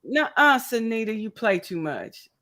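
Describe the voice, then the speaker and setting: A woman in her fifties chats her way through a live crafting stream, greeting each viewer by name and laughing often. Her voice sits a little high, and she takes her time with every phrase. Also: very animated, a little slow, bright, forceful tone. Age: 50s. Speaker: crochet channel host. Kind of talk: casual livestream chat.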